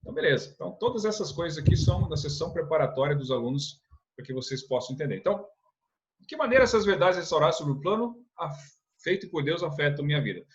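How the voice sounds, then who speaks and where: A man's voice: fairly high pitch, highs cut off. A man from teaching a church lesson, earnest, asking rhetorical questions and often adding a tag question. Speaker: religious instructor.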